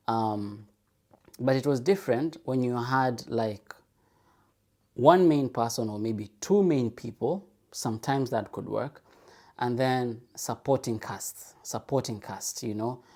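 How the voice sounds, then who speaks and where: A man's voice: mid-range voice, dark tone. A man in a casual, reflective solo podcast monologue, thinking aloud, repeating phrases for emphasis and asking rhetorical questions. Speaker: podcast host.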